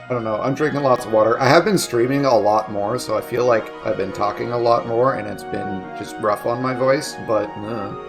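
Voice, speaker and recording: A young man with a raspy, sniffly voice, chatting casually to his viewers while streaming a video game. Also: medium pitch, steady tone, normal speed, hardly any pauses. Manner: chatty, reading chat aloud. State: sniffly, coughing. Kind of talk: casual chat while gaming. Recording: game music behind the voice. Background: video game music.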